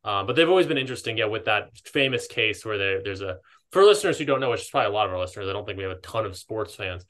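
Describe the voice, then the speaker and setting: A man's voice: fairly low pitch, lively intonation, racing, fairly bright tone. An American man co-hosting a podcast in casual conversation, often hesitating and interrupting himself as he goes. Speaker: podcast co-host.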